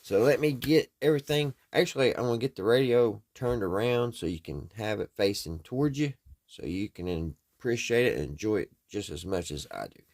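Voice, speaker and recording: A man's voice: on the low side, expressive, fairly quick, gravelly, a little mellow, dry recording. An American man talking casually and folksily, thinking aloud as he hosts a hands-on repair vlog. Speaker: host of a radio repair video.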